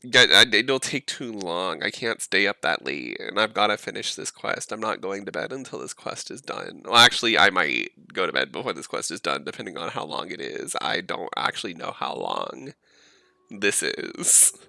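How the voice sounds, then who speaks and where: A male game streamer talks casually and informally. His voice is lowish and creaky yet very sharp, picked up dry on a close microphone. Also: sing-song, moderate pace, no room sound. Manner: informal, slangy.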